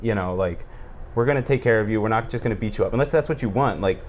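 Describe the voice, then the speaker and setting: Man, American accent, lowish voice, podcast host, casual chat, faint background noise. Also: fast talker. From United States.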